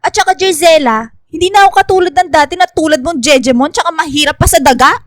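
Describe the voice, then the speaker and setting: A woman voices a vain young friend in a radio drama. She sounds high and girlish, with a sassy, dismissive edge. The sound is close and dry. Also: fast talker, no room sound, close to an everyday voice. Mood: cold, contemptuous. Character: vain, fickle young woman turned cold toward old friend.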